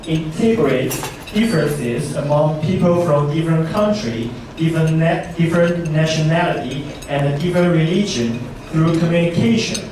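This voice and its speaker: Male interpreter, fairly high voice, measured delivery, distant mic, heavy hall echo. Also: a little mellow, a little hiss or hum. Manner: measured, stumbling restarts. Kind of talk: consecutive interpreting. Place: large conference hall.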